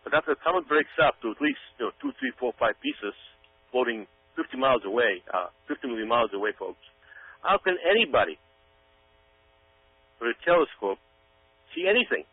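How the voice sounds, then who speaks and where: A man's voice comes over a narrow, phone-like line with a hum. He delivers informal commentary at an ordinary male pitch, emphatic and folksy, addressing his listeners directly. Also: big pitch swings, brisk, pauses often, dry recording. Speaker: commentator giving his opinion.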